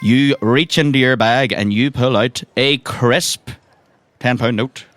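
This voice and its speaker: A man's voice: medium pitch, takes it slowly, a bit bright, slight background noise. A man narrating a tabletop roleplaying game as game master, describing actions and calling for rolls.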